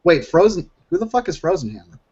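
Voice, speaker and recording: A man's voice: high voice, big pitch swings, quick pace, darkish tone, slightly rough. A man playing a tabletop roleplaying game, bluntly and casually speaking for his character, on a muffled recording. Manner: blunt, sweary. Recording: dull, muffled lo-fi sound.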